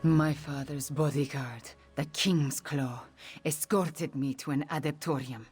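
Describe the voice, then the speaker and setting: A solemn, wounded princess in exile from a fantasy video game. The voice is low and husky, and every line comes slowly and formally. Music plays softly behind the grave delivery. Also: female voice, fairly even intonation. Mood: somber. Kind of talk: video game character acting.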